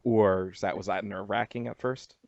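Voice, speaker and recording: A man's voice: lowish voice, steady tone, brisk, creaky, a little mellow. A man hosting a radio interview in a casual, jokey, slangy and crude way. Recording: muffled roomy lo-fi radio interview audio.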